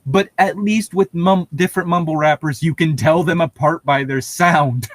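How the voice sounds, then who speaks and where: A man's voice: fairly high pitch, normal speed, no echo. A man bantering casually as a co-host, jokey and opinionated, swearing freely. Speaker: co-host reacting to a fan fiction reading.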